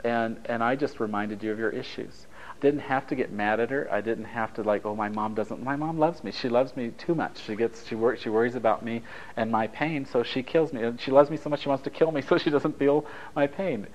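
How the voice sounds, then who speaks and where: A man's voice: mid-range voice, brisk, rough recording. A man from Italy whose first language is Japanese, leading a taped relationship workshop in a conversational, anecdotal way, joking with the group. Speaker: workshop leader.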